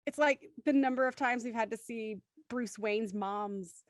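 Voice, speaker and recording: A woman's voice: on the high side, unhurried, no echo, clean studio sound. A woman hosting a casual, playful panel chat in a jokey manner. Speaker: host of a cast discussion panel. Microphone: close mic on a video call.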